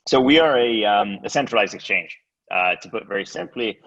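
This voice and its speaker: A man from Estonia talks in a very low voice, stopping and restarting his sentences and filling the gaps with "uh" and "um". The sound is dull and lo-fi, and the pitch stays deep throughout. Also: expressive, fairly quick, a bit bright. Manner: hesitant, many fillers and restarts. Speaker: guest, exchange co-founder. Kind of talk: casual interview.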